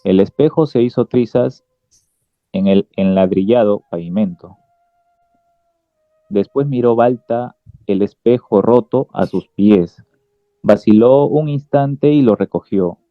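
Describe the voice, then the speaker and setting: A man narrates a literary radio drama from a script. His voice is fairly low and he reads very slowly. The sound is dry and slightly muffled, with soft music beneath. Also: no echo. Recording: dull lo-fi sound, music behind.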